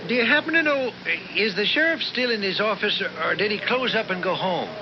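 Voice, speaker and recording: A man's voice: high-pitched, fairly quick. A man acting a folksy, worried small-town storekeeper with a rural American accent in a 1970s radio drama. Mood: casual, guarded. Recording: muffled, noisy old radio recording.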